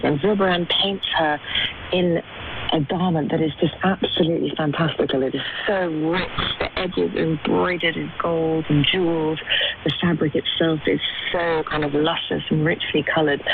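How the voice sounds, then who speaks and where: A woman speaking as an art historian and curator in an interview, explaining things articulately with emphatic descriptive words, heard over shortwave radio. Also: low voice. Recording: tinny shortwave radio, loud static noise. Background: loud shortwave static and clicks.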